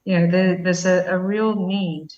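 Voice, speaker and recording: A woman speaking as a guest commentator on a news panel, measured and thoughtful with many fillers. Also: fairly low pitch, flat delivery, darkish tone. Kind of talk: panel interview answer. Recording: dull, echoey sound from a distant mic.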